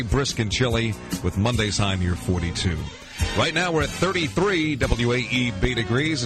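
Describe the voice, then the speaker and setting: An American man hosting a 1980s AM radio show in announcer patter, introducing items as a weekend DJ. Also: medium pitch. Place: radio studio. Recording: 1980s AM radio aircheck with music.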